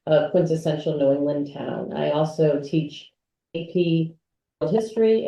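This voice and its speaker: A woman's voice: sits low, warm tone. A woman who is a school's associate head and dean, giving a polished, upbeat presentation. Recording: echoey room from far off.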